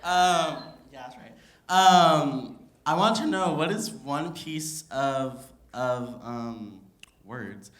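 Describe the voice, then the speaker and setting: Male student from California, average pitch, casual and hesitant, feeling his way through a question. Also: very slow, a bit bright, roomy sound. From Long Beach, California.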